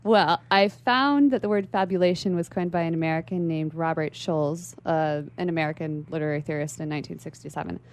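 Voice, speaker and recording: A woman's voice: low-pitched, a little fast, few pauses. A woman speaking as an interview guest in a literary radio discussion, articulate and bookish.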